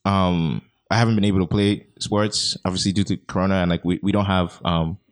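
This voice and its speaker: A man's voice: sits low, steady tone, fairly quick. A young man co-hosting a casual chat, rambling with many fillers and frequent laughs. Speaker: podcast co-host.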